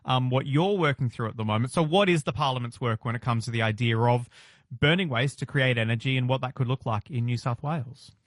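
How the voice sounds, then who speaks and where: Aussie radio host guy, talks quick, dry close studio sound. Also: medium pitch, dry recording. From Australia. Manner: brisk, conversational. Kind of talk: talk radio presenting. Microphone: studio mic.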